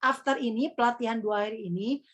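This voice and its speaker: Young woman, high-pitched, easygoing trainer with a rambling, filler-heavy delivery. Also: steady tone, fast talker, a bit bright. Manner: chatty, calls herself Kakak, many fillers. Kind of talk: casual workshop teaching.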